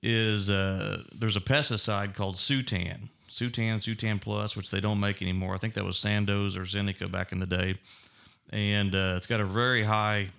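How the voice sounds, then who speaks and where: A man's voice: lowish voice, steady tone, moderate pace, creaky, fairly bright tone. A man hosting a podcast, chatty and rambling, who laughs easily. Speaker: podcast host. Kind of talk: casual chat. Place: podcast room.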